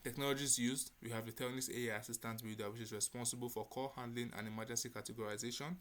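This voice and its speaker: A man's voice: mid-range voice, fairly even intonation, fast talker, a bit bright. A man giving a spoken demo presentation as a developer showing his project.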